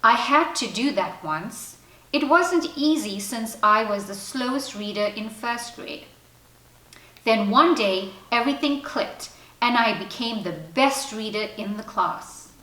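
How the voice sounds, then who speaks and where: A woman's voice: on the high side, expressive, a little slow, pushed and bright. A woman voicing an encouraging mother in a children's storybook, reassuring and emphatic. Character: encouraging mother, once a slow reader, storybook. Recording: roomy echo, distant from mic.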